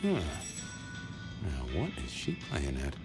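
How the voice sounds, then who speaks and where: A man's voice: rumbling low, huge pitch swings, takes it slowly, heavily acted. A man playing a laconic, probing detective in a noir video game, speaking in clipped, understated lines. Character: probing, laconic male detective questioning a shopkeeper. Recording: music behind the voice.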